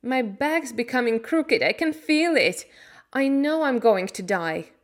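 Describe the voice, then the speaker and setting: A woman's voice: on the high side, lively intonation, a little slow, a bit bright. Woman voicing a whiny, sickly young boy. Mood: frightened, crying. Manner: whining, tearful, indignant. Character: sickly, self-pitying, frightened young boy.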